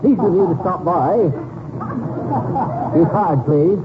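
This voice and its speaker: An actor playing a bumbling American husband putting on pompous, mock-genteel airs with British flourishes, in 1940s radio comedy style. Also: male voice, high-pitched, slow pace, crowd noise. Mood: haughty, affected. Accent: American, with affected British flourishes. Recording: noisy, tinny 1940s radio recording.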